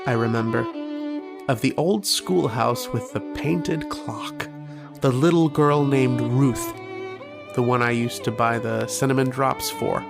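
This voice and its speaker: A man plays a young soldier haunted by dreams and sick of war, in an old-time radio horror play. The voice is slow and creaky, brooding and dramatic. Violin music plays clearly behind it. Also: mid-range voice, expressive. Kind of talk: old-time radio horror acting, first-person narration and dialogue.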